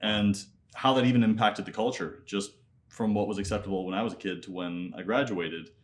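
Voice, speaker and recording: A man in his thirties who grew up in Nepal and speaks with an American accent. His voice sits on the low side. He tells his story conversationally and reflectively as an interview guest. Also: fairly quick, a little hiss or hum. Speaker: interview guest, MBA student and entrepreneur.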